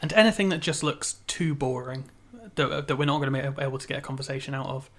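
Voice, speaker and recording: A man co-hosting a casual podcast, chatty and quick to laugh. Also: mid-range voice. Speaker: podcast co-host. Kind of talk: casual chat.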